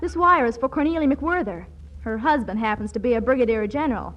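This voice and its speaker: An actress playing a sly, knowing young girl in a 1940s radio comedy, brisk and wisecracking. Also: a little high, lively intonation, fairly bright tone. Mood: sly, wry. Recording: dull old radio broadcast.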